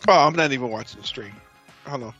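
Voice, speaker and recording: A young man doing casual gameplay commentary on a stream, excitable and quick to doubt what he hears. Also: medium pitch, slightly rough, lo-fi sound, faint music behind. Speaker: game streamer.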